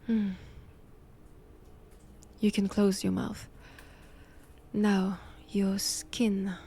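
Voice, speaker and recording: A woman with a French accent playing a stern, cold vampire-hunting nun in an intimate audio roleplay, measured and commanding. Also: mid-range voice, vocal fry, warm tone, full character voice. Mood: clinical, fascinated.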